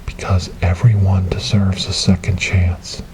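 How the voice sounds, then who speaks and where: A man playing a gentle, devout rescuer in an ASMR storytelling roleplay, speaking softly and breathily in a soothing, reassuring way. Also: low voice, level tone, a little slow, low-quality recording. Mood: reassuring, solemn. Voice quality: soft, breathy, whispery. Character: gentle, devout male rescuer coaxing a lost ghost girl.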